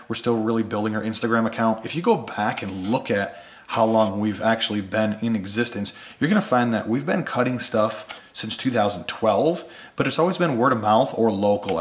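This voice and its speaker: Guy w a deep-ish voice thats kinda sick and giving out, chatty small biz vlogger thanking viewers, roomy echo. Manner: rambling, appreciative of viewers. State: voice worn from being sick, starting to give out. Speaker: small engraving business owner making videos for followers. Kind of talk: casual vlog talk to subscribers. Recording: echoey room.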